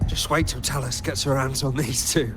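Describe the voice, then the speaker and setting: An actor with a British accent playing a gruff, sneering henchman in a video game, with a coarse, bullying delivery. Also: medium pitch, steady tone, over music, close to an everyday voice. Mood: sneering, menacing. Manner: coarse, taunting, drawn-out delivery.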